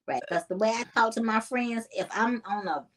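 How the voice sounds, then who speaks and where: A woman with a slightly high voice joins a casual panel chat. She talks colloquially and stresses her points emphatically. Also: moderate pace. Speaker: podcast panelist.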